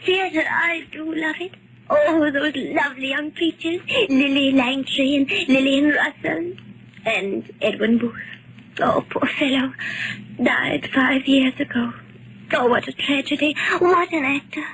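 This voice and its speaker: A high-voiced, genteel Victorian spinster in an old radio play. She gushes and flutters grandly like a society hostess of the 1890s. Light noise sits on the vintage recording. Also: unhurried, fairly bright tone. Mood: wistful, gushing. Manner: fluttery, gushing, theatrical. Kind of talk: 1940s radio acting. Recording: noisy 1940s radio recording.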